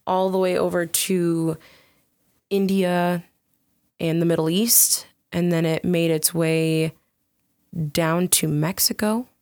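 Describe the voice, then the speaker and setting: A woman co-hosting a casual podcast, chatty and upbeat, with a soft soothing voice that often breaks into giggles. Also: fairly low pitch, dry recording, studio quality. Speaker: podcast co-host.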